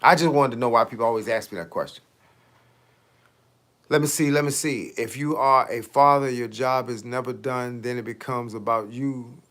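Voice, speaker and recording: A man's voice: mid-range voice, moderate pace, fairly bright tone. A man in his late fifties, a fitness coach chatting casually on his livestream, slangy and talkative, answering viewers' comments with rhetorical questions. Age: 50s.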